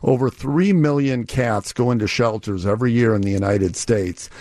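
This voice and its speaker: A man's voice: medium pitch, moderate pace, darkish tone. A man speaking as a company guest in a promotional radio interview, explaining things step by step.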